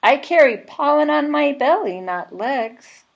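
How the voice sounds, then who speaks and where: A woman's voice: high-pitched, lively intonation, a little slow, gravelly, pitched above the performer's own voice, full character voice. A woman voicing perky, proud little wild bees from a children's storybook, in short declarations. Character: chirpy wild bees introducing themselves, storybook creatures.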